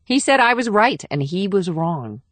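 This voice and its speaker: A woman's voice: medium pitch, very animated, moderate pace, dry recording. A woman playing a guarded, world-weary covert operative with an understated manner in an audiobook. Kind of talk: audiobook character dialogue.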